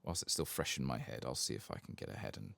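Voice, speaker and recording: A man giving casual, rambling, wry and sweary soft-spoken ASMR commentary on a game, close to the mic. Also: a bass voice, animated, fast talker, gravelly, no room sound. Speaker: host of a game playthrough channel.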